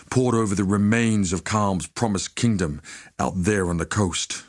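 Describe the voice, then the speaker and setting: A low-voiced, proud warrior of a tribe for a video game. He is solemn and devout, bound by honour. Every phrase is delivered gravely and deliberately, like formal oratory. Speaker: video game character voice actor. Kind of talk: scripted dramatic game dialogue.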